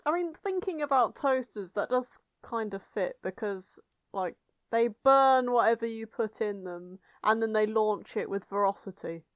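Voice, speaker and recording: A woman's voice: fairly high pitch, normal speed, dry recording, muffled recording. A woman co-hosting a podcast, bantering and reading aloud in a sweary, incredulous manner. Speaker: podcast co-host.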